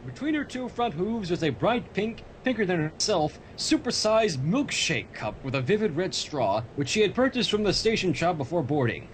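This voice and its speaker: Lively, higher-pitched man reading aloud. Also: normal speed, hardly any pauses. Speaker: livestream host reading fan fiction aloud. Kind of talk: story reading with joking asides. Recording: noisy livestream audio with low rumble.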